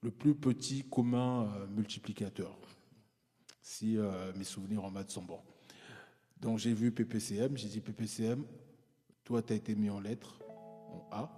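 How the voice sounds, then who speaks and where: Low, mellow-voiced man telling his story. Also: steady tone, unhurried, pauses often, in a large hall, soft background music. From France, parents from Congo-Brazzaville. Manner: hesitant, many fillers and restarts. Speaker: guest. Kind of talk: personal storytelling in conversation.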